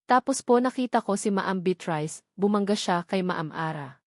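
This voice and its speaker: A woman's voice: mid-range voice, expressive, moderate pace, no room sound, lightly acted. A humble elderly Filipino nanny, voiced by a woman narrator, full of fear. The voice trembles tearfully as in a radio drama, in a dry recording. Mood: frightened, insistent. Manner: sobbing, trembling.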